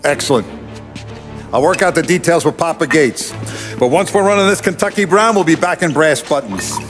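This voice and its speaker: A man playing an aging, fatherly yet authoritative mafia don in a cinematic crime video game, speaking in a measured, commanding way. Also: a little high, animated, a bit bright, soft background music. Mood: approving.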